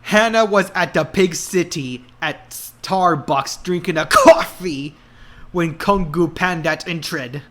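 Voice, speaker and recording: A man gives a comic dramatic reading of fan fiction. His voice is bright and fairly high, and he goes slowly, with exaggerated, halting delivery. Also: a little hiss or hum. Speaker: narrator.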